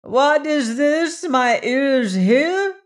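A man voicing a furious old high priest who roars commands in a ringing voice. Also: expressive, very slow, exaggerated voice. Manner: thunderous, commanding.

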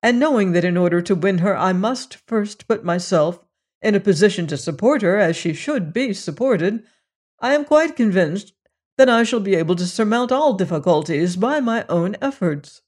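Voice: mid-range voice, expressive, normal speed, darkish tone, lightly acted. A reader voicing an earnest young man who pleads his case with strained restraint. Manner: earnest, restrained, then defiant.

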